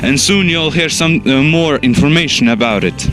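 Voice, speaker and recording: A man's voice: a little high, moderate pace, music in the background, low-quality recording. Hesitant young man interviewing. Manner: tentative questions with fillers. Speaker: student interviewer.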